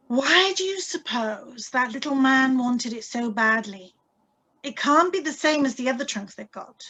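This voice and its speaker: Woman playing an eager, high-voiced wife. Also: animated, slow, sharp tone, exaggerated voice. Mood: excited, suspenseful. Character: eager, stubborn, excitable young wife. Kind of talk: radio drama script reading. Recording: live video-call recording.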